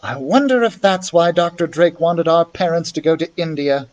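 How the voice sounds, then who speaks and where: A man's voice: fairly high pitch, moderate pace, far from the mic, a little hiss or hum, lightly acted. A man voicing a bold, plucky young sister in a storybook reading, speaking in a firm manner. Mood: concerned.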